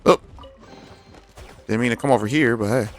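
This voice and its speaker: A man's voice: mid-range voice, moderate pace. A man giving casual, slangy gameplay commentary, talking to himself and his viewers. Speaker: game streamer. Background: video game music.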